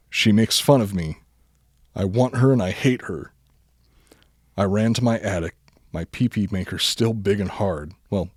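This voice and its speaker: A man reading a comic bedtime story aloud as a podcast host, mostly deadpan but prone to giggling. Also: on the low side, no room sound, studio quality.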